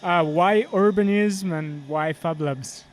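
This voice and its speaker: A male host asking short interview questions in a brisk, encouraging way. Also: high-pitched, slight background noise.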